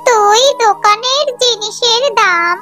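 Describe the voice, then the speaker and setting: Earnest little cartoon village girl, extremely high and bright, slow delivery. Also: heavily acted. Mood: menacing. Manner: childlike, earnest. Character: earnest little village girls, sweet-loving young sisters. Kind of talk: children's cartoon story acting. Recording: music under the voice.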